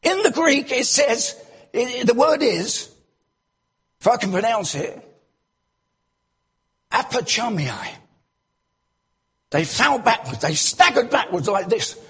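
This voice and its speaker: High, husky-voiced male preacher. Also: slow, pauses often. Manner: animated preaching, bursts of loud exclamation and rhetorical questions. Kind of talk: sermon. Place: church.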